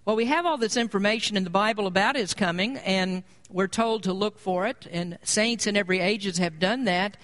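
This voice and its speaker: An American man, a pastor, preaching an expository sermon in an earnest, measured, explanatory way. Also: sits high, a bit bright.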